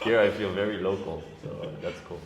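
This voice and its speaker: A young man from Senegal, a guest DJ, talking casually in an interview with many ums and pauses. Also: low-pitched. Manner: relaxed, hesitant, many ums and uhs. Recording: echoey room with background noise.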